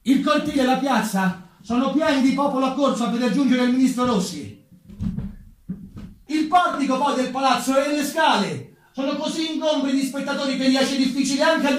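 A man narrating history dramatically as a stage actor, with deliberate, theatrical phrasing. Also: very high voice, animated, a little hiss or hum. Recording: very echoey, distant, music behind.

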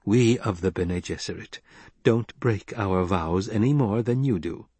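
A man voicing a poised, composed noblewoman who speaks calmly and measuredly. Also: on the low side, slightly rough, no room sound. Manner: calm and measured.

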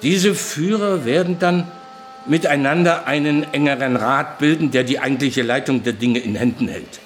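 Casting: male actor as methodical war-council planner, medium pitch, deliberate with weighty pauses, radio drama, faint noise behind. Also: natural voice. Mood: deliberate, businesslike. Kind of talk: German radio play acting.

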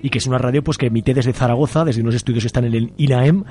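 A man's voice: mid-range voice, rapid-fire, mellow. Male radio co-host, mid-range voice, Spain accent, casual conversational style.

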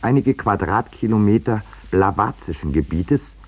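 An actor playing an urbane, slightly pompous middle-aged painter who tells his story with measured irony in a 1950s radio play. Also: fairly low pitch, lively intonation, darkish tone, slight background noise, close to an everyday voice. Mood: wry, reflective. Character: landscape painter Eduard Merlin, urbane wry storyteller, looking back.

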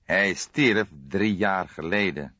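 A man's voice: deep voice, pushed and bright, no room sound, muffled recording, heavily acted. A man voicing an old police detective in an audiobook, courteous and formal but insistent, with restrained impatience. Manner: deliberate, formal, spelling things out carefully.

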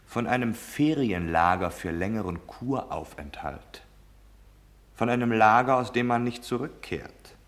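An actor in a German radio drama playing a cold, domineering officer, curt, impatient and cynical in giving orders. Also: male voice, on the low side, expressive, a little slow. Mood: cold menace. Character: cold, domineering military officer delivering deadly orders, male.

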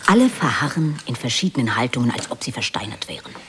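A woman's voice: very deep voice, big pitch swings, quick pace, a little hiss or hum. A woman reading stage directions as the narrator of a radio play in a dramatic scripted style.

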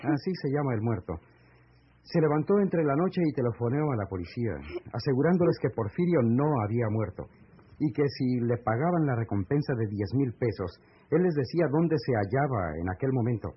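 An actor with a Mexican accent playing an earnest, courteous man in a radio serial, speaking formally and deliberately. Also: medium pitch, animated, slightly rough. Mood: grave, explanatory. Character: earnest, courteous man patiently breaking grave news. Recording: muffled, lo-fi old AM radio recording.

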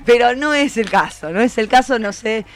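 Radio host: Argentine woman with a fairly high voice, chatty live interviewing style, encouraging, repeats what guests say. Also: expressive, normal speed. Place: radio studio with a group. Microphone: studio microphone.

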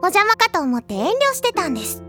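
A woman's voice: extremely high pitch, big pitch swings, quick pace, over music. A woman playing a cute younger schoolgirl, polite and playful, in an anime-like Japanese audio drama. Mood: teasing. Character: cute, teasing younger schoolgirl masking her true feelings.

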